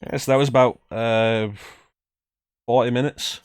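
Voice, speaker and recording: A man's voice: mid-range voice, steady tone, unhurried, smooth. A man giving casual, conversational commentary as a game streamer. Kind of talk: casual commentary over gameplay. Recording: faint background noise from game stream.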